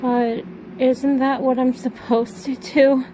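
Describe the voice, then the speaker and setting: A woman's voice: level tone, takes it slowly, warm tone. A woman playing a grieving, withdrawn daughter who lost her mother, speaking hesitantly in an amateur audio drama. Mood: weary, troubled. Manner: hesitant, downcast delivery. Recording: noisy rumbling background.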